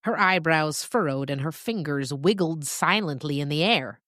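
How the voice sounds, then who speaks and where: A woman narrator reading a scripted story in a deliberate, dramatic storytelling manner. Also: sits low, animated, fairly bright tone, no room sound, clean studio sound.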